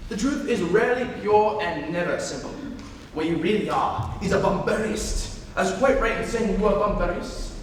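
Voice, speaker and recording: Young man playing a flippant high-voiced gentleman, echoey hall. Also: normal speed, natural voice. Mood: smug. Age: teenager. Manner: arch, theatrical, teasing. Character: flippant, teasing young Victorian gentleman, idle and witty. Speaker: student actor. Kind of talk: dramatic stage reading of a comedy. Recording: distant, very echoey hall, noisy.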